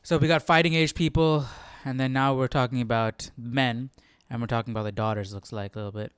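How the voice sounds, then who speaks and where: A man's voice: medium pitch, steady tone, fairly quick, smooth, no room sound, studio quality. A man hosting a Bible study livestream, giving casual commentary and thinking aloud as he goes. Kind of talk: casual read-along commentary.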